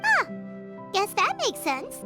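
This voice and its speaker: A woman voicing a tiny, childlike fairy companion in a video game, squeaky, perky and exclamatory. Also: wildly swooping pitch, slow, over music, heavily acted. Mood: curious, impressed. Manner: exclamatory, speaks of herself in the third person.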